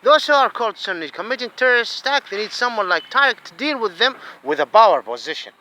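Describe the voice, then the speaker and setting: Agitated high-voiced Saudi-accented man. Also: big pitch swings, fast talker, low-quality recording. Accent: Saudi Arabian. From Saudi Arabia. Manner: agitated, swings between low muttering and loud outbursts. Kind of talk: ranting monologue to camera.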